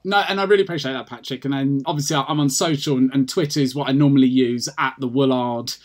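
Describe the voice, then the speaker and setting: A British man, a guest patient advocate, talking in an energetic, passionate way with many restarts in a casual podcast interview. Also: medium pitch, fast. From United Kingdom.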